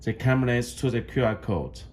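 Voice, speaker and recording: A man who speaks English as a second language gives an unscripted step-by-step product demonstration, halting and repeating phrases as he goes. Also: mid-range voice, expressive, background noise. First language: not English.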